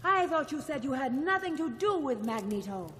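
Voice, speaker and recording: An actress playing a sardonic, reluctant young heroine with weather powers. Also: female voice, high voice, very animated, moderate pace, a bit bright, a little hiss or hum. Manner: sarcastic, reluctant. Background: music score.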